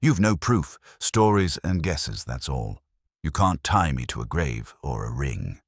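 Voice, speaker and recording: A man voicing a domineering, scheming landlady with a sharp, dismissive manner in a mystery story. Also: very deep voice, big pitch swings, measured pace, breathy edge, dry recording, studio quality, full character voice. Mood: defiant.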